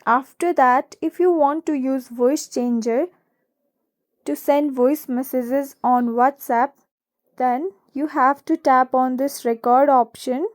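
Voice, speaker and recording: A woman's voice: high-pitched, fairly even intonation, takes it slowly, a little mellow. A woman hosting a tutorial, narrating scripted how-to instructions step by step. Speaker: tutorial host.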